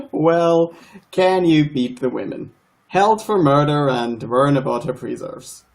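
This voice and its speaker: A man's voice: on the high side, takes it slowly, vocal fry, a little mellow. A man playing a dismissive, jovial county sheriff in a live radio play, talking in a folksy way. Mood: scornful amusement.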